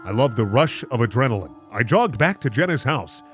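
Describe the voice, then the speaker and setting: A man voicing a weary, smug slasher killer narrating his own murders in a deadpan, dramatic way. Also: medium pitch, brisk, soft background music, natural voice.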